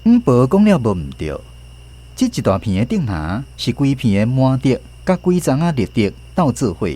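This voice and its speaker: A man's voice: medium pitch, big pitch swings, normal speed, mellow. A man with a Taiwanese accent narrating a radio drama.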